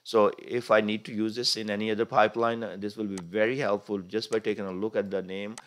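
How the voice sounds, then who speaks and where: A man narrating a screen-recorded software tutorial step by step, with many "uh" fillers as he clicks through. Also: fairly low pitch, level tone, fast talker. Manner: frequent "uh" fillers, step-by-step explaining. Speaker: tutorial instructor. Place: voice-over recorded over the screen capture.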